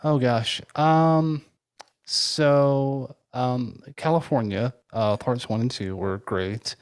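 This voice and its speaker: A man co-hosting a conversational interview, speaking in a halting, tentative way. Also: mid-range voice, smooth. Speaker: podcast co-host interviewing a guest.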